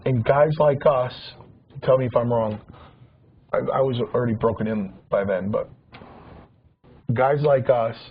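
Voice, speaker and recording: A man's voice: medium pitch, a little slow, pauses often, breathy edge. A man in his forties reminiscing in a casual talk show chat, rambling with many restarts and repeated words. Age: 40s. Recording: dull lo-fi sound with faint hiss.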